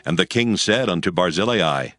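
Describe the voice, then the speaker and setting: Low-voiced, expressive male scripture narrator. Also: normal speed, no room sound, studio quality.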